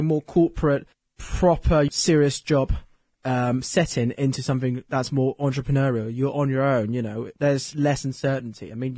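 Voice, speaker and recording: A man's voice: mid-range voice, warm tone, husky, no room sound, studio quality. A man hosting an interview, asking long, rambling questions full of "you know" and restarts.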